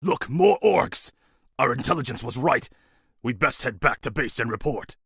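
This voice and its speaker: A wary soldier scout from a fantasy game, mid-pitched and very rough. His gravelly voice is alert and recorded dry. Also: lively intonation, moderate pace, fairly bright tone, no echo, full character voice. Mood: alarmed.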